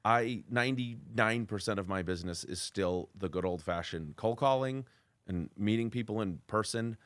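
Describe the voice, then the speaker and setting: A man hosting a podcast interview, talking loudly and freely in casual conversation. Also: lowish voice, moderate pace, no echo. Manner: loud, talkative. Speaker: podcast host, commercial real estate broker.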